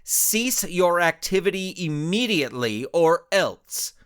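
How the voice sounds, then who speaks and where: A man's voice: sits high, sing-song, slow, fairly bright tone, clear, resonant tone, pitched above the performer's own voice, full character voice. A man voicing a stern, belligerent female superhero in a story, barking clipped commands. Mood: angry, commanding.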